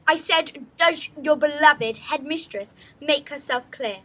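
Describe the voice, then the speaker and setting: A woman's voice: high-pitched, a little slow, a bit bright, pitched above the performer's own voice. A woman voicing a stern, menacing, snobbish headmistress in a storybook, pompous and imperious as she gives orders. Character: stern, menacing, snobbish middle-aged headmistress of a posh school.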